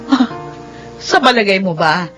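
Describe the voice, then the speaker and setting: A woman's voice: medium pitch, animated, measured pace, fairly bright tone, faint music behind. An actress playing a patient middle-aged mother in a radio drama, reasoning and placating, on a dull recording. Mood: concerned, reasoning.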